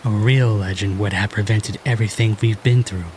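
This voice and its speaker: A man's voice: lowish voice, fairly even intonation, normal speed, darkish tone, a little hiss or hum, natural voice. An actor playing a modest, earnest young male hero in an audio drama.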